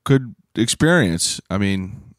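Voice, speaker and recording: A man in his thirties from Pittsburgh, hosting a podcast in a casual, rambling solo talk, hoarse from shouting, swearing freely and filling gaps with "uh". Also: fairly low pitch, expressive, takes it slowly, no echo, studio quality. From Pittsburgh, USA. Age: 30s. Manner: rambling, profane, self-deprecating; frequent "uh" fillers and throat clearing. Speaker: podcast host.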